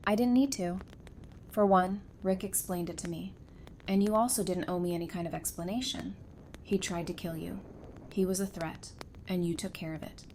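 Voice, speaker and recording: A woman's voice: steady tone, moderate pace, a little mellow, close to an everyday voice, rough recording. A woman playing a supportive, frank, loyal friend in an audio drama, with a reassuring and candid delivery. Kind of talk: fan audio drama dialogue. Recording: background noise with low rumble.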